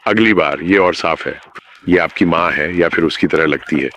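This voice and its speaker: Deep-voiced man narrating ominous horror. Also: normal speed, fairly bright tone, a little hiss or hum. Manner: ominous, addresses the listener directly.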